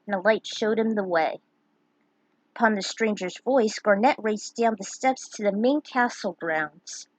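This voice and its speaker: A woman's voice: mid-range voice, measured pace, no room sound, low-quality recording. A woman reading a scripted fantasy story aloud as its narrator. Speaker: narrator reading own fan fiction.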